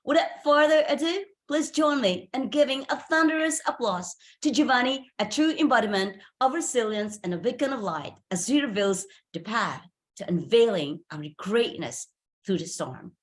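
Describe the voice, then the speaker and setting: A woman's voice: on the high side, expressive, normal speed. Woman reading a polished formal introduction. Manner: polished, emphatic, ceremonial. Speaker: meeting host introducing a speaker.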